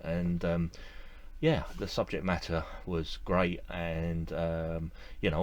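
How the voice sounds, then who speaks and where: Man, middle-aged, very low voice, casual to-camera chat, enthusiastic, lots of fillers and repeats, indoor room. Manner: relaxed and enthusiastic, with many fillers and repeated words. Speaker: model railway collector vlogger. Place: indoor room with display shelves.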